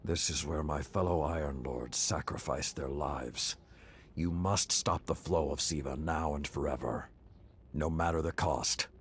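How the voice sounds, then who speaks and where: An actor playing a grave, haunted old warrior mentor in a video game, speaking in a solemn, measured, gravelly way. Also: male voice, fairly bright tone, slight background noise. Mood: solemn urging. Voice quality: very deep, gravelly.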